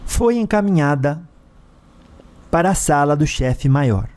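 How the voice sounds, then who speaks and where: A man with a Brazilian accent narrating a scripted story dramatically, in deliberate, theatrical phrases broken by short pauses. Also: on the high side, lively intonation, darkish tone.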